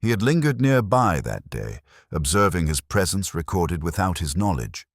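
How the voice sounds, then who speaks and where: A man narrating scripted first-person detective fiction in a measured, reflective, literary manner. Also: low voice, big pitch swings, no echo, clean studio sound.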